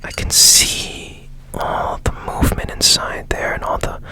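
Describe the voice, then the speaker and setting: A man acts out a strange, curious robot repairman in an ASMR roleplay. He speaks in a slow, breathy whisper with crisp, bright edges. His gentle, soothing murmurs rise and dip freely as he works. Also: rough recording. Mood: curious, wistful.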